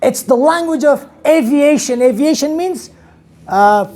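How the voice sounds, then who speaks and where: A man in his thirties from Afghanistan with an Afghan accent, giving an animated English lesson to a class in a small classroom, asking his students questions. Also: extremely high pitch, a little slow. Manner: animated, gestures widely, asks the class questions. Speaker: English teacher. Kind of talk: classroom lecture. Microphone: camera across the room.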